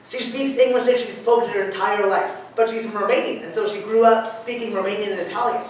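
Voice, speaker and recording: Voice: mid-range voice, fairly even intonation, fast talker, distant mic, slight background noise. Chatty lecturer in an echoey hall. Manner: chatty, digressive, joking asides. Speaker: university chemistry lecturer. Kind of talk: classroom lecture.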